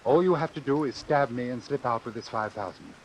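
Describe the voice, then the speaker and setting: A cold, calculating doctor acted by a man from an old suspense radio play. He speaks formally and precisely at a middle pitch, over faint recording hiss. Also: brisk, lightly acted, low-quality recording. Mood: smooth, businesslike. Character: cold, calculating male doctor obsessed with his experiment. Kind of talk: vintage suspense radio drama narration and acting.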